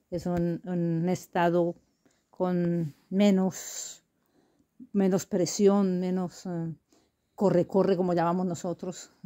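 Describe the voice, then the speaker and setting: An older woman with a Colombian accent answering casual interview questions in a halting, affectionate way. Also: on the low side, dark tone.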